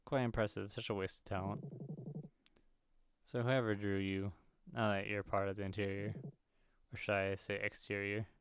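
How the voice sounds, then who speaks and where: A man's voice: on the low side, little pitch movement, moderate pace, pauses often, smooth, no echo. A man hosting gaming videos, reading a visual novel's script aloud as he plays.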